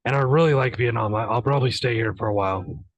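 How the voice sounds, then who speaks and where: A man's voice: medium pitch, fast talker. A young man from the United States, a podcast guest, talking in a laid-back, hesitant way with lots of fillers. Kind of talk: casual interview chat.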